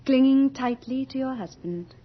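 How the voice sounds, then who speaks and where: An actress playing Death as a beautiful, imperious lady in a theatrical radio drama, speaking in a grand, coquettish, deliberate way. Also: high-pitched. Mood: coquettish, charming. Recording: muffled vintage radio drama recording.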